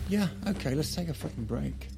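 A man's voice: fairly high pitch, expressive, a little fast, gravelly, over music. An actor playing a weary, defiant, sardonic husband and father in a naturalistic audio drama. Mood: angry, resigned.

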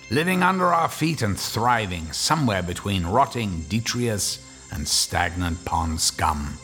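A man with a low, swooping voice hosts a radio horror tale, lingering theatrically over every word. Music plays beneath his narration. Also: fairly bright tone. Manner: slow, theatrical, relishing each word. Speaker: host narrator. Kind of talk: 1940s-style radio horror host narration.

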